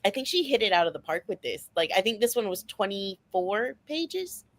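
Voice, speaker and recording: A woman's voice: mid-range voice, sing-song, normal speed, dry recording. A woman in a casual book chat, speaking with enthusiasm and emphasis and peppering her talk with filler words like "like" and "you know". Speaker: book discussion panelist.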